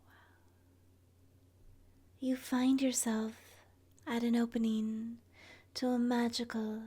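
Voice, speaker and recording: Slow, breathy, whispering woman. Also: on the high side. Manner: soothing, gentle, hushed, with long pauses. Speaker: guided meditation host. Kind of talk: ASMR guided meditation roleplay, soft whispery narration.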